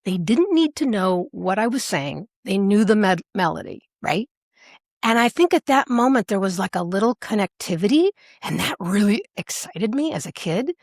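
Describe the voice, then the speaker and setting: An American woman with a mid-range voice talks casually as a podcast guest. She is animated and playful in the way she tells stories. The sound is dry, with very little room in it. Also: medium pitch, normal speed, no echo. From Alaska, United States. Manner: animated, playful, acts out remembered remarks. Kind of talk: casual interview storytelling.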